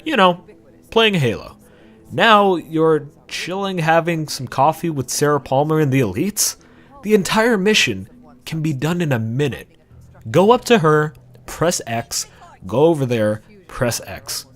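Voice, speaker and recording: Man with lively, emphatic delivery. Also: a little high, measured pace, faint music behind. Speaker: video essay host. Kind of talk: scripted video essay commentary on games.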